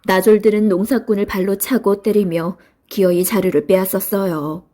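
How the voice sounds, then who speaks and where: A woman's voice: medium pitch, steady tone, moderate pace, mellow. A woman narrating a children's storybook in a scripted read-aloud style.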